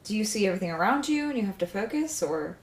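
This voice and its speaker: A woman played by an actress in an audio drama, blunt and full of probing questions. Her voice sits at a middle pitch. She is dry and skeptical, with natural conversational delivery. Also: sing-song, quick pace, close to an everyday voice. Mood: curious, skeptical. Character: blunt, inquisitive, coffee-craving scientific-minded woman.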